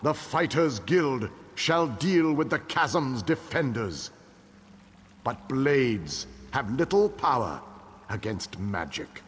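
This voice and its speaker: An actor playing a noble, solemn king who rallies his allies in measured, formal speech in a fantasy game. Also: mid-range voice, sing-song, a little hiss or hum. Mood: grave, rousing. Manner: stately, measured, formal oratory. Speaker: war leader king. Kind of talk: dramatic fantasy game dialogue. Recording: long hall reverb.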